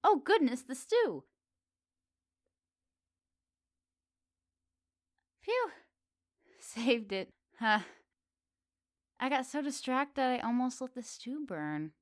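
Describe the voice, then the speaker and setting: Woman playing a slow, teasing flirty witch. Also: high-pitched, no room sound, studio quality, full character voice. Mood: flustered. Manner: teasing, coy, expressive with soft pauses. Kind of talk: ASMR roleplay, addressed intimately to the listener.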